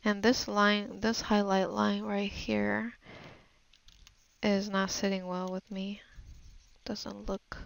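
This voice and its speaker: Slow, creaky-voiced woman narrating a tutorial. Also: medium pitch, level tone, no echo. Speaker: digital art tutorial narrator. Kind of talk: casual unscripted voice-over tutorial, thinking aloud.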